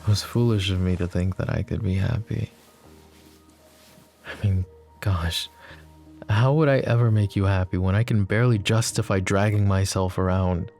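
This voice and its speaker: A man voicing a lovesick, possessive young mafia boss in an ASMR roleplay, speaking softly and intimately, often close to a whisper. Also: low-pitched, moderate pace, vocal fry, pauses often, faint music behind. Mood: tender, yearning. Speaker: voice actor.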